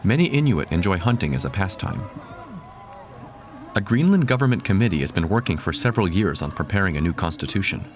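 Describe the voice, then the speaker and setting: A man reading scripted documentary narration in a measured, even tone. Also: sits low.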